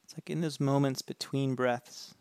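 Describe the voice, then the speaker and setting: A man with a gravelly, creaky voice leads a guided meditation, speaking calmly and gently. The recording is close and dry, with no room sound around him. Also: mid-range voice, dry recording. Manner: calm, slow, gentle instructions.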